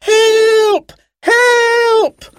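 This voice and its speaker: Excitable, caring young girl for a children's story, performed by a man, high-pitched and lively, recorded dry. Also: a little slow, dry recording. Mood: excited shouting.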